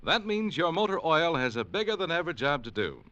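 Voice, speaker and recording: A polished 1940s radio announcer man reading an ad and the credits. His voice is fairly high, bright and lively, swinging up and down in the old declaiming style. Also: fairly quick, muffled recording.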